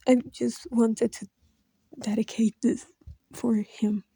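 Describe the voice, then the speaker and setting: A woman speaks slowly and haltingly, close to tears, often trailing into whispers. Her voice is slightly high but dark and gravelly, with a husky edge. The sound is dry and close. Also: level tone, dry recording. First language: Brazilian Portuguese. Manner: halting, hesitant, drifting into soft whispers. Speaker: video creator. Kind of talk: emotional personal tribute.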